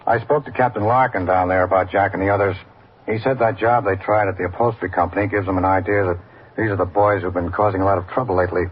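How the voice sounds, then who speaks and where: Deep-voiced laconic police lieutenant. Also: male voice, fairly even intonation, fast talker, husky. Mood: dry, resigned. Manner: laconic, understated, procedural. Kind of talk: classic radio police drama acting. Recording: muffled, hissy old radio recording.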